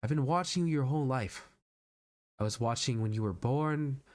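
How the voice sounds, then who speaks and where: A man performing a dramatic film monologue as a controlling, fatherly television creator, measured, grandiose and coaxing. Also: medium pitch, darkish tone, no room sound, clean studio sound, lightly acted. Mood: coaxing, tender. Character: calm, controlling, fatherly male TV show creator.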